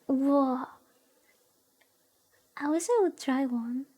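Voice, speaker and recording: High-pitched, soft mellow woman streamer reading aloud. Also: extremely slow. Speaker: VTuber streamer. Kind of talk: soothing visual novel read-aloud with chatty commentary.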